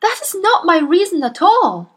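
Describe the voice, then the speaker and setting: A woman voicing a gentle young female schoolteacher who pleads earnestly in a high voice. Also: very animated, normal speed, exaggerated voice. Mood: earnest pleading.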